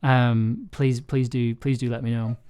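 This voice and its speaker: Live stream host, mid-range voice, informal chat, halting and repetitive phrasing. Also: mid-range voice, flat delivery, darkish tone, smooth. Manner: rambling, halting, often repeats words. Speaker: stream host and audio drama writer.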